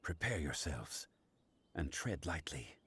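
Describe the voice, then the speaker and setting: An actor playing a calm, dignified, commanding ancient guardian in a fantasy video game, speaking formally and with stately measure. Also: male voice, extremely low pitch, takes it slowly, breathy edge, full character voice. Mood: composed, cautioning.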